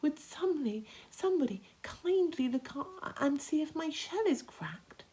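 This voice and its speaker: A woman's voice: sits high, lively intonation, normal speed, mellow, voice pushed up. A woman voicing a polite, worried female ladybird in a children's storybook, plaintive and prim. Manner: plaintive, courteous.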